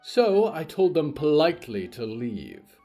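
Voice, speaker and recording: Man playing a dramatic, fussy, higher-pitched devil. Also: wildly swooping pitch, unhurried, warm tone, soft background music, heavily acted. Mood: exasperated.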